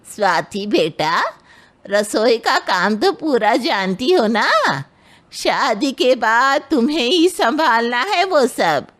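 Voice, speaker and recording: A woman's voice: fairly high pitch, sing-song, slow pace, fairly bright tone, full character voice. A woman voicing a traditional, demanding middle-aged mother-in-law in a dramatized moral story. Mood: probing, demanding.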